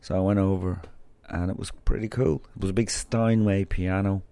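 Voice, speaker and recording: A man's voice: sits low, steady tone, moderate pace, warm tone, clear, resonant tone, no echo. An Irish man hosting a podcast, chatting loosely and rambling through stories before interviewing a guest. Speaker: podcast host. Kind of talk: casual rambling monologue and interview.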